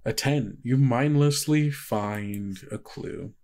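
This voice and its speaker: A man runs a tabletop role-playing game. His voice sits at a middling pitch. He describes scenes off the cuff, conversationally, often pausing to find the next detail. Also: darkish tone. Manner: conversational, halting descriptions with frequent hedging "like". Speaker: game master of an actual play podcast. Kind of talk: improvised tabletop game narration.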